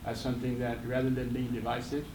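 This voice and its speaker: A man from the audience puts forward a long, articulate question at an even pace. His voice sits in the middle range. He is picked up from a distance in an echoey room with clear background noise. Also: mid-range voice. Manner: articulate, measured, long sentences. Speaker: audience member asking a question. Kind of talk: question from the floor.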